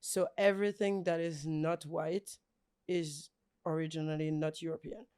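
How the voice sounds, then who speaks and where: A woman's voice: low voice, fairly even intonation, moderate pace, warm tone, clear, resonant tone. A young woman from Belgium, a guest speaking thoughtfully in a casual podcast interview.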